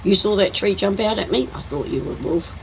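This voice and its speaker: Croaky older Kiwi grandmother gamer. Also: medium pitch, animated, fairly quick, a little hiss or hum, rough recording. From New Zealand. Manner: chatty, teasing, narrates her own driving. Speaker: game streamer. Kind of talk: casual live-stream chat while playing.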